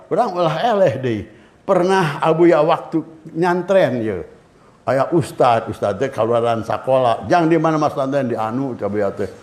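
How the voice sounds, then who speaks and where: Older male cleric, Sundanese accent, slightly high voice, lively teaching tone. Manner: lively, switching between languages, acting out anecdotes. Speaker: Islamic cleric. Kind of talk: religious lecture to students.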